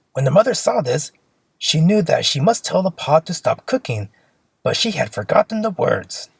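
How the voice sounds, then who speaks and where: An expressive storyteller reads a simple fairy tale for children. The voice is husky and creaky, moving up and down a great deal in a dry, close space. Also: mid-range voice, no echo.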